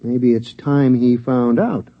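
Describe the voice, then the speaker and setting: An actor playing a timid, placating husband and father in a husky, coaxing voice, in the manner of 1950s radio drama. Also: mid-range voice, steady tone, slow pace. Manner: conciliatory, coaxing. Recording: muffled vintage radio recording.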